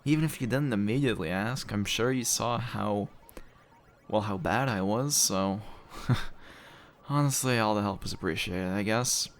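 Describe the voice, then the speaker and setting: Man acting deep-voiced teasing young guy. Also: a little hiss or hum. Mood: incredulous, amused. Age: young adult. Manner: teasing, playful, halting and mock-polite. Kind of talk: intimate audio roleplay, casual conversational acting.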